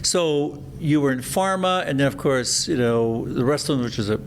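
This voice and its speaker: A man's voice: medium pitch, animated, moderate pace, slight background noise. A middle-aged man, a plastic surgeon hosting his podcast, chatty and enthusiastic with frequent restarts. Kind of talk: podcast interview.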